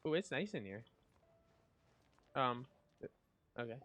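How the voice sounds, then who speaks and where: A man giving casual gameplay commentary, informally reacting and thinking out loud as he plays. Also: mid-range voice, very animated, slow. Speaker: gaming content creator. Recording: game music behind the voice.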